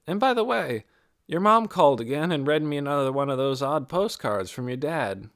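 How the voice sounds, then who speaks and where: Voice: medium pitch, animated, moderate pace, a bit bright, voice pushed up. A narrator voicing a weary, practical adult woman with a dismissive, matter-of-fact manner in an audiobook. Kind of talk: audiobook character dialogue.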